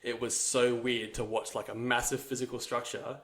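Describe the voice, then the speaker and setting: A man's voice: mid-range voice, level tone, normal speed. A young man from New Zealand, a podcast guest, telling his life story in a casual, rambling way with many fillers and restarts.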